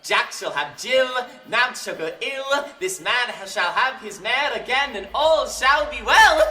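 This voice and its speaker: A man's voice: very high voice, big pitch swings, slow pace, heavily acted. A man playing a mischievous forest sprite in a Shakespearean audio drama, teasing, cackling and sing-song. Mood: gleeful. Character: mischievous male forest sprite, taunting and gleeful. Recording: roomy, distant, faint noise.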